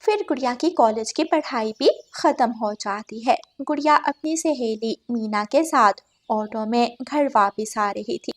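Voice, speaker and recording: A woman's voice: on the high side, measured pace, hardly any pauses. A woman reading scripted narration for a children's moral story as the storyteller.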